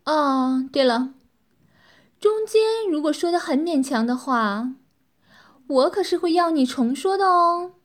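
A woman's voice: high voice, expressive, slow pace, long pauses. A woman voicing a cruel, mocking, domineering young female villain in a dramatized audiobook, with a commanding, taunting delivery. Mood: teasing, menacing.

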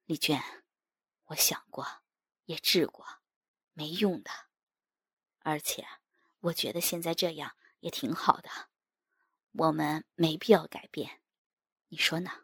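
Dejected, gentle husband for audio fiction , read by a woman: slow, soft, wistful, gravel-edged. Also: low-pitched, no room sound, clean studio sound, heavily acted. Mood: subdued, resigned.

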